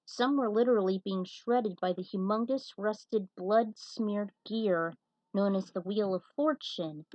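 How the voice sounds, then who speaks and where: A woman narrates a story as an amateur host, with an informal delivery and casual asides. Her pitch is middling for a woman and the recording is dry, with very little room sound. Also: measured pace, a little mellow, dry recording, low-quality recording. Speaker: host and reader of a fan fiction series.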